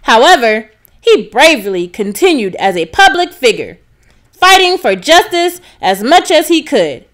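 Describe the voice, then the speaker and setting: A woman narrating a children's storybook, reading aloud expressively with clear enunciation. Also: high voice, very animated, measured pace, fairly bright tone. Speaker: narrator, read-aloud reader.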